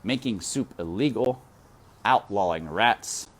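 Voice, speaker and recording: A man reading a children's novel aloud, expressive and addressing the listener directly. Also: fairly low pitch, slow pace. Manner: expressive, speaks to the reader directly. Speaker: reader. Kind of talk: children's book read-aloud.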